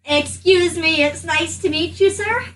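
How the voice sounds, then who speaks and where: A woman role-playing casually in a tabletop game, halting and repeating her words as she goes. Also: extremely high pitch, a bit bright, soft background music. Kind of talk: casual in-character role-play. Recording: distant mic, faint room noise.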